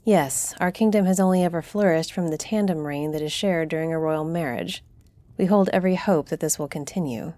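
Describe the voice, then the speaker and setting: A woman acts out a solemn griffin-shifter diplomat in a fantasy audio drama. She speaks formally and deliberately in a fairly low, dark-toned voice, recorded dry and with real authority. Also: dry recording, lightly acted. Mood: formal, official. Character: stately female griffin-shifter council leader, solemn, fair-minded diplomat. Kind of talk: fantasy audio roleplay acting.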